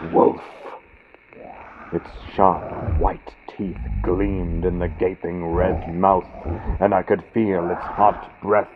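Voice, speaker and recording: Guy doing spooky audiobook narration, really slow n dramatic, muffled old radio type sound w lots of noise. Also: low voice. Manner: theatrical, suspenseful, deliberate phrasing with dramatic pauses. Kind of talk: dramatic scripted narration of gothic horror. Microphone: built-in computer microphone. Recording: dull lo-fi computer mic, vintage-radio sound, loud noise. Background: loud noise and storm-like ambient sound effects.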